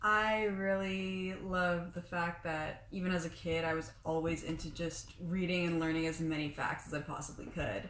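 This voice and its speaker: A woman's voice: fairly low pitch, fairly even intonation, normal speed, few pauses, fairly bright tone, slight background noise. A young woman hosting a casual podcast chat, asking friendly questions of three guests. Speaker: podcast host. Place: small room with friends. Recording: echoey room.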